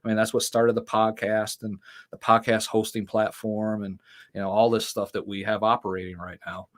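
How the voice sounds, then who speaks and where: A man's voice: fairly low pitch, little pitch movement, moderate pace. Rambling middle-aged man telling stories. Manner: rambling storyteller with frequent fillers and laughs. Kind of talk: casual interview talk.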